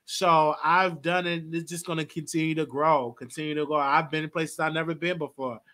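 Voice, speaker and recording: Host, late 20s male, bright and lively, chatty and quick to laugh. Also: fairly high pitch. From Texas. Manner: chatty, animated, laughs often. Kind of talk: casual live call-in show.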